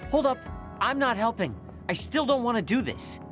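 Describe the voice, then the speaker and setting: A woman's voice: on the high side, lively intonation, normal speed, lightly acted. A woman playing a haughty, irritable, stubborn young squad member in an anime game dub. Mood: scornful. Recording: music clearly behind the voice.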